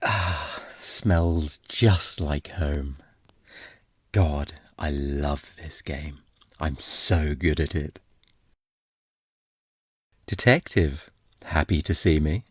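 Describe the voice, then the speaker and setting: A man playing an obnoxiously flirty, smug thief in an intimate ASMR roleplay, teasing in a playful, theatrical, drawn-out way. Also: rumbling low, slightly rough, no echo, clean studio sound, full character voice.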